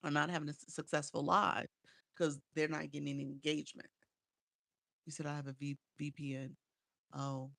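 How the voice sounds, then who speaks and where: Chatty woman coach with a gravelly voice. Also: low-pitched, flat delivery, moderate pace, pauses often, a bit bright, no echo, clean studio sound. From Chicago. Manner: chatty, reads comments aloud and answers them, frequent "um" and laughs. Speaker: live-streaming coach. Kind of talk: casual livestream chat, answering viewer comments. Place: small home setup. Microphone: small desk microphone.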